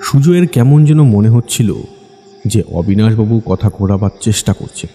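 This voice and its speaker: Dark, reverberant male ghost story narrator. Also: on the low side, animated, normal speed. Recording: long reverb over the voice. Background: soft music.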